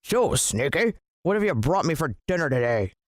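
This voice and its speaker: A woman's voice: deep voice, very animated, moderate pace, no room sound, studio quality. A woman voicing a gluttonous, cruel bearded man in a children's storybook, blustering and demanding as he bellows and mutters. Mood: bellowing, demanding.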